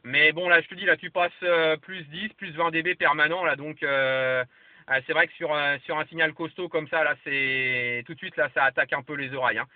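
A man's voice: on the high side, fairly even intonation, normal speed, no room sound. A man chatting casually as a radio operator and giving signal reports. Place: parked vehicle. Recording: lo-fi camera audio, muffled, dry.

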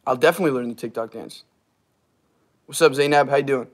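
A young man in his twenties with a slightly hoarse, strained voice, rambling casually with fillers and laughs as he reads viewer comments on a livestream from a small wood-paneled garage room, heard through a device camera at arm's length. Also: medium pitch, fast. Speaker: livestream host.

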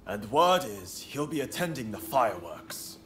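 A man's voice: on the low side, sing-song, a little slow, a bit bright, soft background music. A man playing a zealous, calculating samurai plotter in a video game, businesslike and intent. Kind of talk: dramatic video game voice acting. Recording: clear ambient noise behind.

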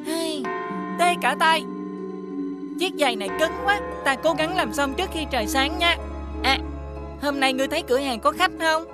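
A woman voicing a tiny, kind-hearted shoemaker who leads others in a friendly, earnest way in a children's animated fairy tale. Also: high-pitched, moderate pace, pauses often, over loud music, natural voice. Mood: busy.

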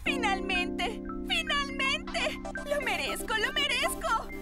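Squeaky, theatrical teen diva. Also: female voice, lively intonation, slow, heavily acted. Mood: elated. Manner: theatrical, whiny. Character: vain, lazy, dramatic teenage diva model girl. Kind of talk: animated cartoon dub acting. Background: music.

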